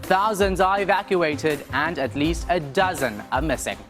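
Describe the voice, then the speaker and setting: A man anchoring and reporting the news in a crisp, measured broadcast delivery. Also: a little high, fairly bright tone, soft background music. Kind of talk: scripted news presenting.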